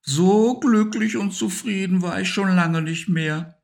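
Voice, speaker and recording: Guy doing a teeny high hamster voice, wailing and fretful, storybook. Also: a little slow, vocal fry, full character voice. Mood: happy, breathless. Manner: squeaky, wailing and dramatic. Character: small, anxious, tearful male hamster. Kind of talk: storybook reading.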